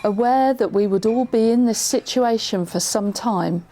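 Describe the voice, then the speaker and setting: A woman's voice: fairly high pitch, moderate pace, mellow, faint music behind. A woman reading scripted narration for a community radio drama.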